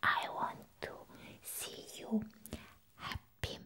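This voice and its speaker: A woman voicing a sweet, adoring vampire in a whispered ASMR roleplay, speaking tenderly and repeating soft words to comfort a human friend. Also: slow pace. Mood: caring, soothing. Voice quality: breathy unvoiced whisper.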